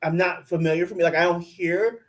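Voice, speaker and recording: A man co-hosting a casual recap show, chatty and quick to hedge his opinions. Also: high-pitched, steady tone. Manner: chatty, hedging. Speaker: podcast co-host.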